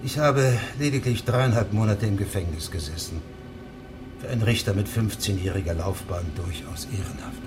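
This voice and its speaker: An actor playing a middle-aged judge in a radio drama, terse, authoritative and deadpan. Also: male voice, on the low side, moderate pace, over loud music, close to an everyday voice. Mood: stern, self-assured. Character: curt, imperious male travelling judge.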